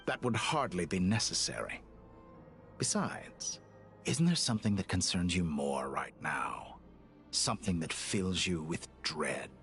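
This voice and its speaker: An actor playing a smug, cruel mad-scientist villain in a video game, pompous, taunting and condescending. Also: male voice, low voice, expressive, a little slow, a bit bright, full character voice. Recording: game music behind the voice.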